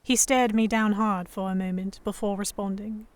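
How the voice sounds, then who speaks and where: A woman's voice: medium pitch, steady tone, normal speed, natural voice. A woman playing a defiant, sarcastic young Victorian English noblewoman held captive, narrating in formal first person for an audio drama. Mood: tense narration. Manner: sardonic, defiant, formal diction. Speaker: narrator and lead voice actor.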